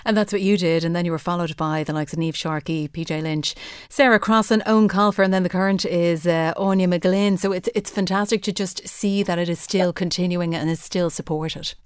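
A host interviews a guest on a book show in an engaged, conversational way. The voice is smooth and clear, recorded close and dry. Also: mid-range voice, steady tone, a little fast, no echo. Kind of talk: radio interview.

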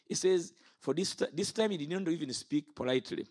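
A man's voice: a little high, a little fast, a little mellow. A man in his fifties from Uganda preaching an impassioned sermon. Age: 50s. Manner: impassioned, rises and falls sharply.

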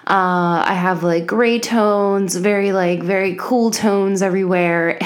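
A woman's voice: mid-range voice, steady tone, slow. Congested young woman chatting casually. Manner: chatty, many fillers like um and like. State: congested, a little sick. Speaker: podcast host. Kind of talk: casual chat. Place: home office.

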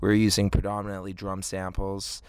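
A man in his late twenties chatting casually as an interview guest, thoughtful and halting in his phrasing. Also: sits low, monotone, a little mellow, clean tone, no echo. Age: late 20s. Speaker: indie band frontman, interview guest.

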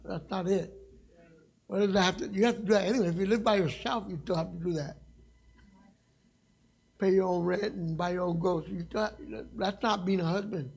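A middle-aged man with a raspy voice, preaching a sermon in a church as a guest evangelist, passionate and conversational, joking with the congregation. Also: high voice, steady tone, fairly quick, pauses often. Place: church sanctuary.

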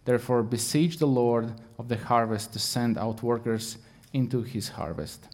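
A man preaches with a Latvian accent, searching for words in English and filling pauses with "um" and "uh." His delivery is earnest and plain, at an ordinary middle pitch for a man. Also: a little mellow. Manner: halting English with many fillers, earnest. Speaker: guest preacher. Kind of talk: sermon.

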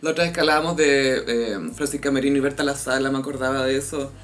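A woman with a Chilean accent co-hosting a casual pop-culture gossip chat, chatty and full of slang and quick asides. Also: extremely low pitch, fairly even intonation. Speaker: podcast co-host.

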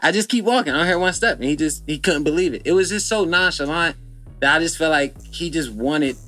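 A man's voice: fairly high pitch, brisk, fairly bright tone, faint music behind. A young man from Philadelphia, a guest in a casual chat, telling a story in a laid-back, slangy way.

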